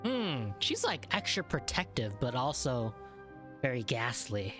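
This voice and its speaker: Low voiced girl streamer chatting over game music, really animated and offhand. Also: a little slow. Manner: informal, mild swearing, thinks aloud. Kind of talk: casual live reaction commentary. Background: game soundtrack.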